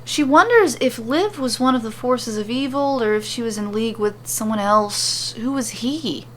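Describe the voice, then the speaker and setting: A woman's voice: fairly high pitch, moderate pace, fairly bright tone. Woman narrating a fantasy story over faint noise. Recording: faint background noise and ambience. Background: ambient sound effects.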